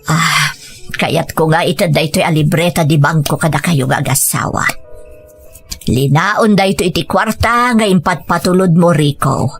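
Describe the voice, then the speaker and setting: A woman's voice: low-pitched, measured pace, soft background music. A woman playing a solemn, secretly caring older mother-in-law in an audio drama, speaking sincerely. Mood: approving.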